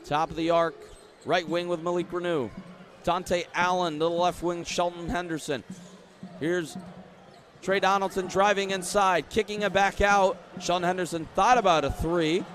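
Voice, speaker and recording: A man's voice: high-pitched, steady tone, moderate pace, fairly bright tone, a little hiss or hum. A young American man doing live radio play-by-play, conversational, stretching words on the big calls. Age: young adult. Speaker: play-by-play sports announcer.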